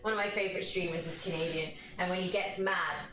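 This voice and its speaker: A woman's voice: lowish voice, steady tone, fast talker. Chatty London woman in a very echoey room. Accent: London English. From London, England. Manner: chatty, informal, banters with viewers. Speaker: yoga instructor and live streamer. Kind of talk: casual live yoga class with chat to viewers. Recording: echoey room, distant mic, music behind. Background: music playing.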